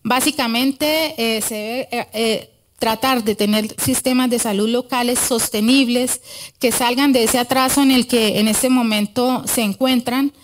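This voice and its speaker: A middle-aged woman giving an academic conference talk at a podium microphone in a large auditorium, earnest and often pausing to correct herself. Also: a little high, steady tone, slight background noise. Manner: earnest, with frequent hesitations and self-corrections. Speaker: conference speaker. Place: large wood-panelled auditorium.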